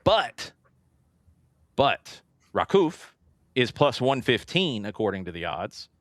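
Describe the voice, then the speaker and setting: A man hosts a casual soccer talk show with an animated, swinging pitch. His middle-register voice is recorded dry and close, and he leads the conversation through match previews. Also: takes it slowly, dry recording.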